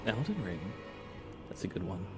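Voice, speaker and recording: A man doing a soft-spoken ASMR live stream, chatting to viewers in a low-key way and now and then slipping into whispers. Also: sing-song, moderate pace. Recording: loud game music behind the voice. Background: video game music.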